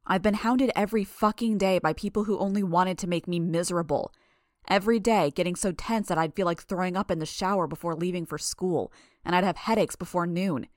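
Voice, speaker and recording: A woman's voice: lowish voice, moderate pace, dry recording, studio quality, natural voice. A woman voicing a stubborn, earnest teenage girl in an audiobook, insistent and argumentative. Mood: heated, earnest. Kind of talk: dramatised audiobook dialogue.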